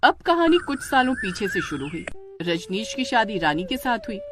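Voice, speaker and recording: A woman's voice: mid-range voice, sing-song, normal speed, noisy background. Woman narrating a family story. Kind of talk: scripted Hindi family drama storytelling.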